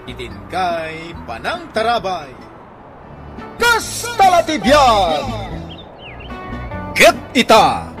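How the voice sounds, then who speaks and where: A man with a Filipino Ilocano accent announcing a radio drama in a dramatic scripted style. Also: high voice. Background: loud theme music.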